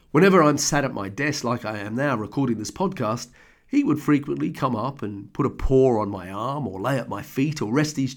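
Man, slightly croaky, mid-pitched, earnest, conversational podcast host. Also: darkish tone. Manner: earnest, conversational, heartfelt storytelling. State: slightly croaky voice from throat infection. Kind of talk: reflective personal monologue. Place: home desk.

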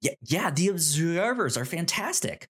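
A man co-hosting a casual discussion of a TV show, halting and repeating words as he thinks. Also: a little high, big pitch swings, a bit bright, husky. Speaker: podcast co-host.

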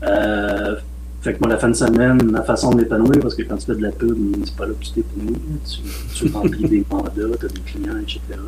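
A man in his late forties with a Québécois French accent, a film director talking in a relaxed, rambling way as a podcast guest. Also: on the low side, a little fast, a little hiss or hum, rough recording. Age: late 40s. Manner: relaxed, rambling, frequent "euh" and "mettons". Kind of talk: casual podcast interview.

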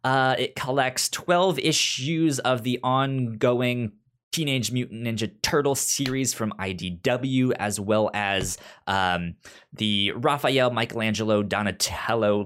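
A man's voice: medium pitch, takes it slowly, fairly bright tone, clean tone. A man with a stutter hosting a podcast, talking conversationally and partly reading out summaries. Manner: stutters, repeating sounds and words. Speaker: podcast host. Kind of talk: conversational pitching of media picks, partly reading synopses.

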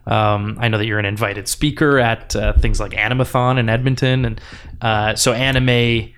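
Relaxed male podcast host. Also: lowish voice, moderate pace, smooth. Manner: relaxed, laughs easily, gentle questions. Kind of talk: casual podcast interview.

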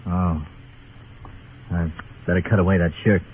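An actor playing a bitter, sardonic disgraced doctor turned crooked gambler in a radio Western, talking in clipped phrases. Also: male voice, deep voice, level tone, a little slow, narrow, tinny audio. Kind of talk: 1950s radio drama acting. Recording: thin noisy old radio recording.

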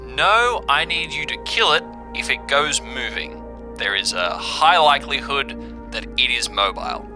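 A man voicing a cryptic, formal stranger in an audio drama, speaking in slow, measured phrases. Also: mid-range voice, lively intonation, music in the background, pitched above the performer's own voice, lightly acted. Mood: ominous, formal. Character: cryptic, formal mysterious contact speaking over a crackly radio.

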